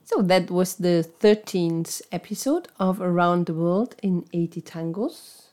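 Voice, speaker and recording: Slow-talking woman prompting questions. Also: lowish voice, dark tone. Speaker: podcast co-host. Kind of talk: casual chat and episode sign-off.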